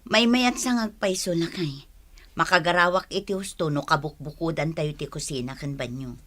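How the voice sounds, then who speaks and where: Radio drama mother for a woman: Ilocano accent, stern and sharp-tongued, bright forceful tone, close dry recording. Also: deep voice, normal speed, no echo. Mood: matter-of-fact. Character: stern, scolding village mother.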